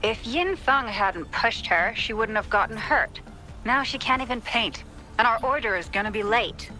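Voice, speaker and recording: Bright, complaining worker. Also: female voice, on the high side, animated, normal speed, soft background music, low-quality recording. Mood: worried, annoyed. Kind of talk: English anime game dub acting.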